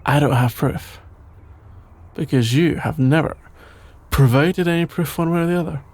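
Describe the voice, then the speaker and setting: A man playing a shy, sleepy young best friend in an ASMR roleplay, stammering, hesitant and evasive in a creaky, drowsy voice. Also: a little high, normal speed, a little mellow, lightly acted. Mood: defensive, teasing.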